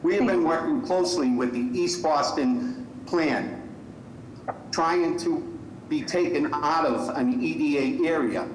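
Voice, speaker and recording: A man's voice: a little high, a little slow, a little hiss or hum. A man giving public testimony at a zoning hearing, earnest and repeating himself. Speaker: public commenter. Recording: echoey call audio.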